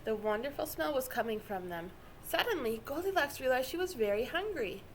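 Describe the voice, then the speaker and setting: A woman reading a children's story aloud with expressive, dramatic narration. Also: high-pitched, a little fast, slight background noise. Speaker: storybook reader.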